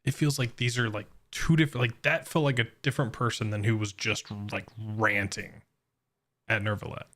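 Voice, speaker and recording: A man's voice: lowish voice, steady tone, moderate pace, a bit bright. A man streaming a game and giving casual, informal reactions, musing aloud as he goes.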